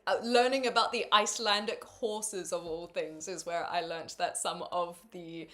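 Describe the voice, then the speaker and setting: A woman's voice: fairly low pitch, steady tone, normal speed, sharp tone. Chatty woman with a faint Kiwi accent. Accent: faint New Zealand. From New Zealand. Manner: chatty, thinks aloud with many fillers, laughs often. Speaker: streamer. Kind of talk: casual chat with viewers.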